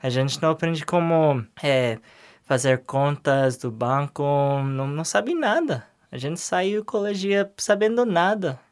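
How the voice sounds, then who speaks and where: Young man, halting speech. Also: a little high, expressive, smooth. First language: English. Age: young adult. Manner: hesitant, searching for words in a second language. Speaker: interview guest. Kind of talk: casual interview answers.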